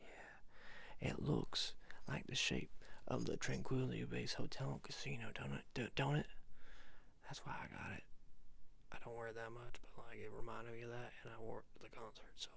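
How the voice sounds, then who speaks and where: A man doing an ASMR salesman roleplay in a breathy whisper and murmur, rambling and repeating himself in a folksy, halting sales patter. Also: mid-range voice, level tone, a bit bright.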